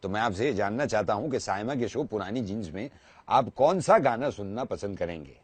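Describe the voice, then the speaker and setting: A man's voice: lowish voice, animated, a little hiss or hum. A man working as a radio jockey, making a prank phone call in a courteous, formal way. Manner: courteous, formal, deliberate politeness.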